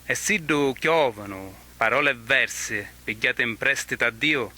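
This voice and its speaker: A man with a Sicilian accent reciting poetry in a declamatory manner, in measured phrases with pauses. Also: mid-range voice, sharp tone. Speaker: poet.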